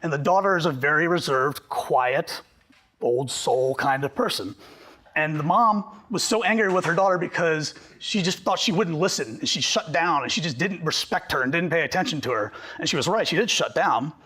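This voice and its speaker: A man presenting a workshop to a group, talking fast and animated, slightly hoarse from losing his voice. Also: on the high side. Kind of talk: live workshop talk to a group.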